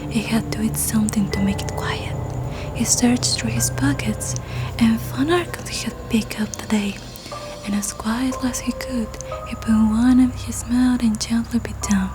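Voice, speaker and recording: A woman's voice: on the high side, steady tone, low-quality recording. Whispering woman telling a folk tale over music. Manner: soft, hushed whispering, unhurried. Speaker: storyteller.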